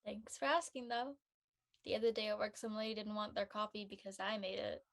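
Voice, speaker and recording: A woman's voice: mid-range voice, level tone, a little fast, vocal fry, fairly bright tone. A young woman playing a lonely, discouraged college student, speaking in a hesitant, plaintive way in a dramatic script reading. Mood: hurt, downcast. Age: young adult.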